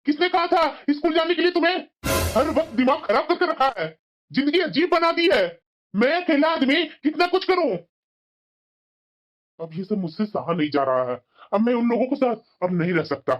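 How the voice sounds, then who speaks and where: A man's voice: extremely high pitch, lively intonation, moderate pace, over music. Guy doing a fed up irritable cartoon father, complaining, kinda muffled recording. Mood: angry, exasperated. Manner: aggrieved, complaining. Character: burdened, irritable father of four daughters. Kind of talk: cartoon moral drama acting. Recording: dull, muffled lo-fi sound.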